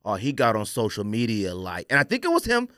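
A man's voice: on the low side, wildly swooping pitch, fairly quick, fairly bright tone, no room sound, clean studio sound. A man hosting a casual podcast, giving a blunt, emphatic, opinionated rant peppered with rhetorical questions. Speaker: podcast host.

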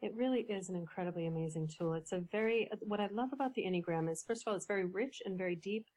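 A woman coach, a podcast guest, explaining ideas in a measured way with some fillers. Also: mid-range voice, darkish tone. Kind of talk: podcast interview.

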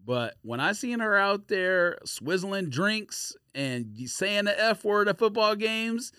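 A man's voice: sits high, very animated, takes it slowly, pushed and bright, clean tone, no room sound, clean studio sound. A man co-hosting a podcast in casual, slangy sports banter. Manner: slangy, frequently says bro and fam. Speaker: podcast co-host.

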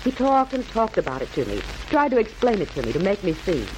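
A woman playing a firm, solemn middle-aged mother in a 1940s radio drama, speaking in dramatic, measured speeches. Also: mid-range voice, big pitch swings, close to an everyday voice. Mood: wistful. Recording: hissy, noisy old 1940s radio recording.

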